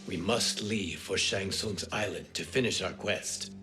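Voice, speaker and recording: An actor playing a solemn, commanding warrior in a video game, speaking in a formal, measured way. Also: male voice, low-pitched. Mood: solemn resolve. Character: solemn, commanding male warrior with power over wind. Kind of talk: video game cutscene acting. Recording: loud music bed.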